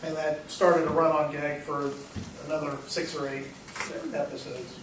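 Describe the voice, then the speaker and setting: A man's voice: fairly high pitch, flat delivery, moderate pace. A man giving informal commentary as a presenter to a group. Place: room at a club meeting. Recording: very echoey room, distant mic, noisy.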